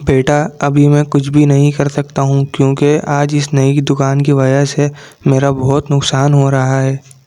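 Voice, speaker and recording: A man's voice: mid-range voice, monotone, unhurried, dark tone, smooth, natural voice. A man voicing a troubled, honest village samosa seller and father in a cartoon moral story, speaking plainly and soberly.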